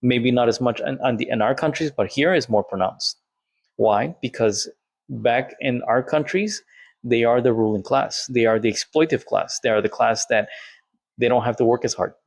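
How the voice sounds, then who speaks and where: A man's voice: medium pitch, moderate pace, creaky, no room sound. A man, telling a personal story in a candid, conversational monologue and quoting what others said. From Colombia. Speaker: vlogger.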